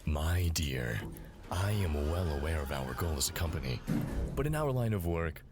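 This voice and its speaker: An actor playing a smooth, courteous but condescending company executive in an audio drama, with a suave, patronizing, unhurried manner. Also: male voice, extremely low pitch, big pitch swings, over loud music, full character voice. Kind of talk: scripted audio drama acting. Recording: clearly noisy background ambience.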